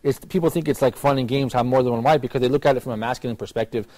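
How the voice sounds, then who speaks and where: A man's voice: medium pitch, fairly even intonation, racing, dark tone. An American man hosting a talk show in a casual, conversational style. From United States. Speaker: live talk show host.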